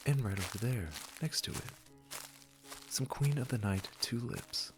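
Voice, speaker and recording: A man playing a gentle, wise garden keeper in a comforting audio roleplay, speaking in a soothing, affectionate and encouraging way. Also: mid-range voice, unhurried, over music, close to an everyday voice. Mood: gentle, reassuring.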